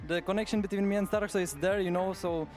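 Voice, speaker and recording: Man, high voice, pro player, stage interview, music behind. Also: fairly even intonation, fast talker, darkish tone. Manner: loose, laughing, leans on "you know". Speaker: pro player giving a post-match interview. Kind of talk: post-match stage interview. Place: on stage in an arena.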